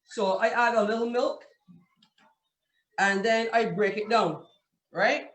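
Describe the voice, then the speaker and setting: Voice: medium pitch, steady tone, slow, fairly bright tone. A chef explaining a dish step by step on a video call, often checking in with "right?" from a home kitchen. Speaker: chef cooking live. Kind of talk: cooking demonstration on a video call. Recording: distant echoey room with faint noise.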